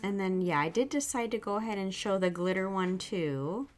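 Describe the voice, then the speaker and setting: A woman hosting her own nail art channel, chatting casually through a product review, a little sick with an irritated throat, soft and gushing. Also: mid-range voice, normal speed, faint music behind.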